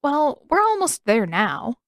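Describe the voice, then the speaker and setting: A gentle young boy, played by a woman reader, speaks up earnestly as the friendly peacemaker. The voice is high and upbeat, recorded dry for a storybook. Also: wildly swooping pitch, unhurried, no echo, heavily acted.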